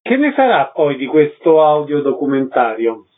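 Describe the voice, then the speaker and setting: A man's voice: a little high, sing-song, normal speed. A man working as a radio interviewer, putting short questions to a guest and presenting the programme. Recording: thin narrow-band phone-like sound.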